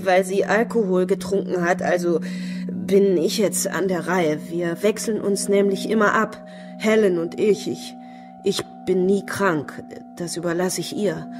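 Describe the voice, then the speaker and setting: A woman's voice: fairly low pitch, natural voice. An actress playing a deluded, imperious woman with an erratic, haughty manner in a crime radio play. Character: deluded, unstable young woman with split personality, imperious.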